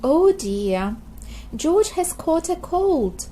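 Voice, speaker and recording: A woman narrating a children's storybook in a scripted, expressive read-aloud style for very young listeners. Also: very high-pitched, a little hiss or hum. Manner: slow, expressive delivery for small children.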